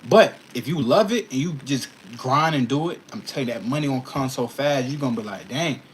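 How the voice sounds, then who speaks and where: A man's voice: mid-range voice, expressive, a little fast, slight background noise, low-quality recording. A man in his early twenties in a casual livestream chat, answering questions in a slangy, emphatic way and often checking that listeners follow. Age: early 20s. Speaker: custom shoe artist answering viewer questions.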